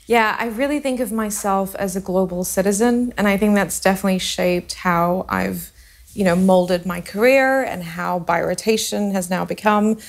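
A woman's voice: mid-range voice, normal speed, creaky. Talkative woman founder, interview guest. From India, raised in Singapore. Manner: open, talkative, with fillers. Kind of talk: radio interview.

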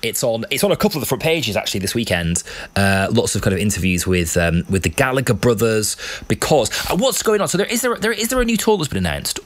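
A man hosting a late-night radio show, chatting briskly with hesitant fillers. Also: lowish voice, sing-song.

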